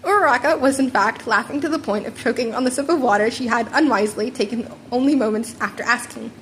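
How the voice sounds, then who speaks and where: A woman's voice: a little high, quick pace. A woman reading a story aloud as an amateur narrator, stumbling and rereading words now and then. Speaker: amateur fan fiction narrator. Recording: home recording with background noise.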